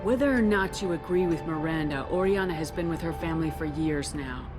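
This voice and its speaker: An actress plays a woman military commander in a video game. Her voice is on the low side, steady and direct as she probes, with music clearly audible behind it. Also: lightly acted. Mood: firm. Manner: measured, direct, questioning. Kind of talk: dramatic video game acting.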